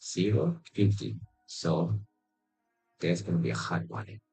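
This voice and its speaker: A man giving casual gameplay commentary in a halting, mumbled way. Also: low-pitched, animated, vocal fry, mellow, husky, soft background music, poor audio. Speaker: game streamer.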